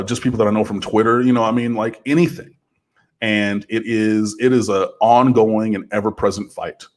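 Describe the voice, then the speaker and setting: A man with a big, fairly low voice speaks on a podcast in casual talk. He is earnest and builds to a forceful, impassioned delivery.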